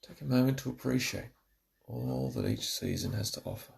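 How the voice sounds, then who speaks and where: Male relaxation narrator, lowish and extremely gravelly, giving soothing, measured instructions from a script. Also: sing-song, darkish tone. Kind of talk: scripted guided relaxation meditation.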